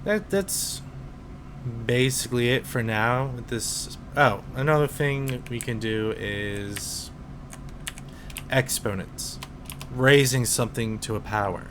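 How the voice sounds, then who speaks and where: Slow-talking young man teaching code. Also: mid-range voice, background noise. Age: 20s. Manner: slow, relaxed explaining with fillers. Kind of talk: screen-recorded coding tutorial.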